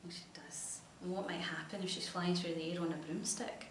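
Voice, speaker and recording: A Scottish woman with a fairly low voice reads a children's story aloud, asking questions and giving encouragement. The recording is roomy, a little distant, with some background noise. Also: steady tone, a little fast. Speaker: teacher reading a story to a child. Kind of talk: interactive storybook reading, child-directed. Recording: echoey room, distant mic, noisy background.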